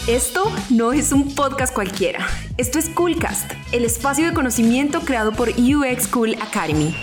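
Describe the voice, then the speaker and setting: A woman's voice: fairly high pitch, big pitch swings, normal speed. A woman reading a polished, scripted promotional intro for a podcast. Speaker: announcer. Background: clear music.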